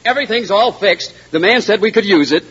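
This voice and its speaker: Brash, touchy young man, husky, high-pitched. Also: lively intonation, fairly quick, a bit bright, heavily acted. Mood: eager, boastful. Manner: blunt, touchy. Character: brash, jealous young small-town boyfriend, touchy and boastful. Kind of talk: 1940s radio drama acting. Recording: muffled, reverberant 1940s radio recording.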